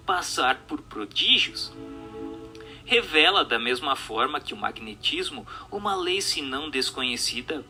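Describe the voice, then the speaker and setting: A man reading a formal book as scripted narration, in a measured, didactic and emphatic manner. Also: medium pitch, bright, forceful tone. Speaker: narrator, audiobook reader. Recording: music bed under the voice. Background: music.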